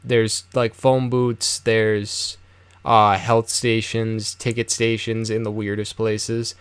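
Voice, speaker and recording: American male game-guide narrator, conversational and loose, clean smooth tone, very dry recording. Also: fairly low pitch, little pitch movement, slow pace, dry recording. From United States. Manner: rambling, offhand, conversational. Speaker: video game guide host. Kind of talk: casual game walkthrough commentary.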